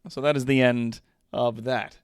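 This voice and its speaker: A man chatting as a livestream host. His voice is smooth and unhurried, recorded dry, and he explains in a casual, playful, slightly hesitant way. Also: mid-range voice, flat delivery, no echo.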